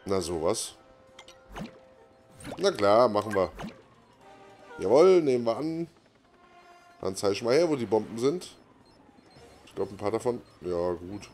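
A man's voice: fairly low pitch, very animated, a little slow, pauses often, darkish tone. A man giving casual let's play commentary, muttering to himself with playful asides. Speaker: gaming YouTuber, let's player. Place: voice-over recorded over gameplay. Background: game music.

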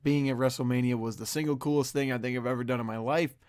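A hoarse young man talking straight to his viewers in a casual, excited vlog intro, loose and full of fillers. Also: mid-range voice, quick pace. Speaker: wrestling fan vlogger. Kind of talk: casual direct-to-camera intro.

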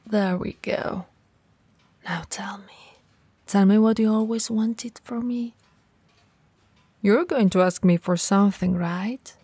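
A woman playing a tall, cool, flirty shop owner in a soft, breathy, intimate ASMR roleplay. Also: medium pitch, fairly even intonation, takes it slowly, long pauses, dry recording. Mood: intimate, coaxing. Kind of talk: ASMR romantic roleplay acting. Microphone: close mic, dry.

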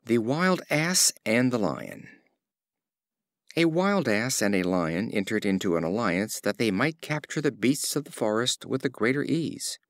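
A man narrating fables as an audiobook reader, in an expressive storytelling style. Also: lowish voice, unhurried, dry recording, studio quality.

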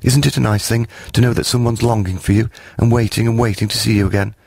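Man doing small timid critter for a storybook: high, eager, stammery, husky. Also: steady tone, fast, darkish tone, no echo. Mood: eager shy. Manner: breathless, stammering, pleading. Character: tiny shy eager furry creature, admiring.

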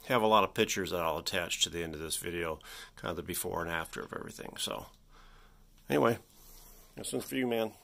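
A man with a low-pitched voice walks through a hands-on restoration job. He speaks casually and in a folksy way, pausing often as he thinks aloud. He is indoors in a workshop, picked up by a handheld device. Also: vocal fry, pushed and bright. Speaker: hobbyist electronics restorer presenting his repair.

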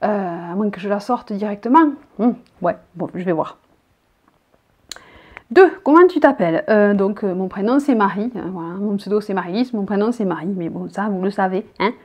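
A woman's voice: medium pitch, lively intonation, moderate pace, lo-fi sound. A woman in her thirties from the south of France, with a southern French accent, chatting casually as a vlogger and reading out tag questions from her bedroom. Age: 30s. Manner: chatty, rambling, reads each question out loud. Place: bedroom, indoors.

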